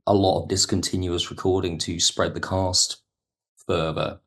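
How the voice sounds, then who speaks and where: Low-voiced man explaining his work. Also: little pitch movement, normal speed, fairly bright tone. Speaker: audio drama producer and writer, podcast guest. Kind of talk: reflective interview talk about production work.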